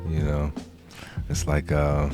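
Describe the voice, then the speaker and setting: A man with a deep voice telling casual, joking stories as a podcast host, often halting and repeating himself. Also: monotone, mellow, soft background music. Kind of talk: casual storytelling chat.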